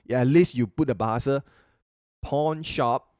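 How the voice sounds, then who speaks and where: A young Malaysian man on a podcast who talks in a halting way, reaching for words and restarting often. His voice sits a little low and sounds dry and close, with no room echo. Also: a little mellow. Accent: Malaysian English. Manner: halting, searches for words in broken English. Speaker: podcast co-host. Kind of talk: casual podcast chat.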